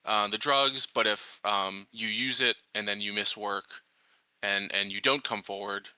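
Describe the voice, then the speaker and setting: A man talking casually as a player in a tabletop roleplaying game, thinking aloud in a halting, hesitant way. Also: lowish voice, steady tone, sharp tone, no room sound.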